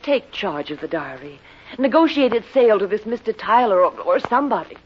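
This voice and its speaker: An actress playing an anxious, pleading client in an old radio detective drama. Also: female voice, medium pitch, animated, fairly quick, a little hiss or hum, lightly acted. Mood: anxious, secretive. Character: anxious, pleading female client with a stolen diary. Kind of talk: 1950s radio drama acting. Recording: dull, muffled old radio recording.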